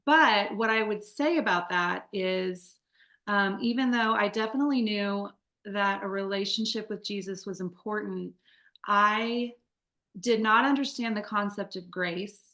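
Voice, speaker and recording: Bright-voiced woman telling her story. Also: medium pitch, measured pace, reverberant. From Midwest United States. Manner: candid, chatty, many fillers and restarts. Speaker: guest. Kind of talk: conversational testimony.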